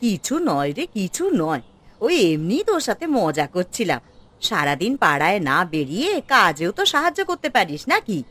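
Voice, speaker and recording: A woman voicing a scheming witch posing as a sharp-tongued, scolding village housewife in a fairy-tale cartoon. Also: lowish voice, sing-song, normal speed. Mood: placating, brisk.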